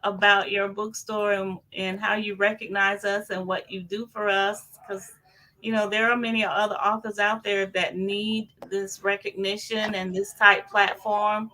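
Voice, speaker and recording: A woman, a guest author, thanking the host in a warm, grateful way. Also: medium pitch, little pitch movement, measured pace, low-quality recording. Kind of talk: casual thanks.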